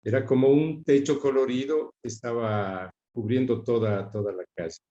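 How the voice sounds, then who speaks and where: Mellow Quito man in an echoey room. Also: medium pitch, steady tone, moderate pace, lo-fi sound. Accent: Quito Ecuadorian. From Quito, Ecuador. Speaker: interview guest. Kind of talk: conversational reminiscence. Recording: roomy, echoing sound.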